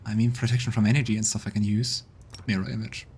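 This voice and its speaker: A man's voice: fairly low pitch, level tone, quick pace, creaky, darkish tone, slight background noise. A man streaming a game, thinking aloud and muttering commands with plenty of hesitation. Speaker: let's play streamer. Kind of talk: casual gameplay commentary. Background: faint game music.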